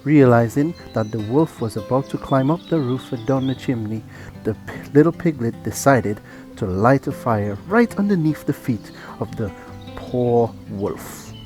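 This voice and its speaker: A father reading a children's bedtime story aloud in a gentle, even storytelling manner. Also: medium pitch, unhurried, faint music behind.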